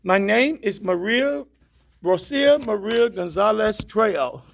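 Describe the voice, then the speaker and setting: A woman's voice: medium pitch, expressive, takes it slowly, vocal fry, exaggerated voice. A woman reading the part of a dutiful but cheeky housemaid in a stage play, polite and matter-of-fact. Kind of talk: stage play table read.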